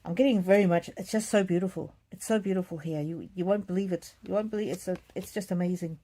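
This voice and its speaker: Low-voiced woman, gentle and musing. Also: fast talker, slight background noise. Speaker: psychic card reader hosting a livestream. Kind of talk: casual live chat, reading out comments.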